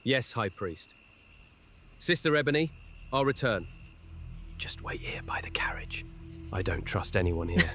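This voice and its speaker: Guy acting as smug menacing male witch, slow n theatrical, voice swoops around, horror audio drama. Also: on the low side, lively intonation, pushed and bright, faint music behind. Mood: playful. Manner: taunting, theatrical, with chuckles. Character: sly male witch brother, menacing and smugly charming.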